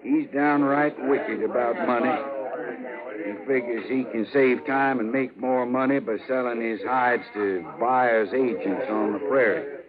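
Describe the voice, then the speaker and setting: A man's voice: mid-range voice, fairly even intonation, unhurried, a crowd in the background, close to an everyday voice. Folksy American frontier skinner, man acting, old radio. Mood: uneasy, confiding. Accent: rustic American. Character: plain-spoken, gritty frontier buffalo skinner. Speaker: actor in radio western. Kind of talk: 1950s radio western acting. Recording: narrow-band 1950s radio, background noise.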